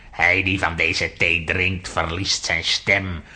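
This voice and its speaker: A man playing a sinister, scheming sorcerer villain in a children's audio drama, with a deliberate, menacing delivery. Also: low-pitched, little pitch movement, bright, forceful tone, heavily acted.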